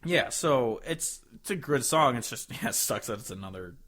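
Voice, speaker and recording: A man's voice: medium pitch, big pitch swings, moderate pace, fairly bright tone. A young man hosting a podcast, chatting casually about country music in a joking, foul-mouthed way. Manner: profane, joking. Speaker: podcast host.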